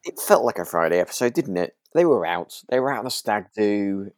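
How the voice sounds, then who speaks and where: A man with a British accent co-hosts a casual podcast. His voice is low and recorded without room echo. He rambles informally, feeling his way through sentences. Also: animated, a little fast, a little mellow, clean studio sound. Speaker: podcast co-host. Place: remote call from home.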